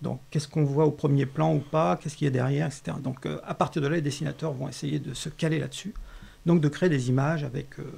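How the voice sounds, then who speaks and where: A man with a fairly high voice and a strong creaky edge. He answers as an interview guest, explaining his craft informally and feeling his way through each point. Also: steady tone, fast, a little mellow. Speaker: guest cartoon artist.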